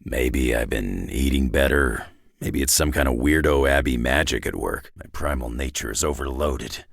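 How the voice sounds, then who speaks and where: A man voicing a gruff, cynical, battle-worn mutant brawler in a video game, with a gravelly, husky growl and a terse, dry tough-guy drawl. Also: a bass voice, fairly bright tone, no echo, exaggerated voice. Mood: wry. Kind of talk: video game character acting.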